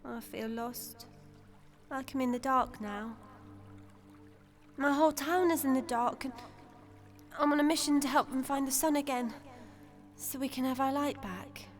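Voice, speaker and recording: A woman's voice: high voice, fairly even intonation, measured pace, pauses often, soft background music. A woman playing a young girl adventurer in a family audio drama, earnest and full of wonder. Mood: lost, earnest.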